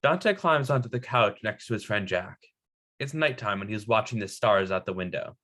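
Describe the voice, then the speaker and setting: A man narrates a screenplay at a table read, giving scene headings and stage directions. His voice is fairly low. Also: expressive, normal speed, bright, forceful tone.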